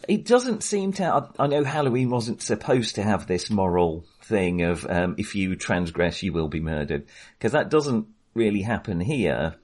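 A man talks casually about films in a low, rough-edged voice. His intonation moves around a great deal. He feels his way through each thought, backing up and rewording as he goes. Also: lively intonation, normal speed, a little mellow. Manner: hesitant, thinking aloud, self-correcting. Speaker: film podcast host. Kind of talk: casual film discussion.

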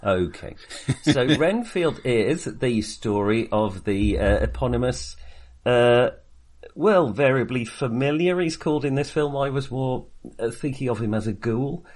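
Lively, halting man co-hosting a film podcast. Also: medium pitch. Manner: halting, wry, self-deprecating. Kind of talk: casual film discussion.

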